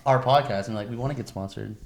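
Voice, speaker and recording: A man's voice: mid-range voice, fast talker, vocal fry, a little mellow, slight background noise. A man hosting a podcast with loose, slangy, profane banter and a mock-exasperated way of talking. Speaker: podcast host.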